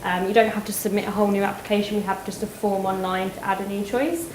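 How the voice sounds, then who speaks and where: Brisk admissions woman in a roomy hall. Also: medium pitch, darkish tone. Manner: clear, explanatory, brisk. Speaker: admissions staff. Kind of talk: answering audience questions. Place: university event hall. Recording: roomy hall with faint noise.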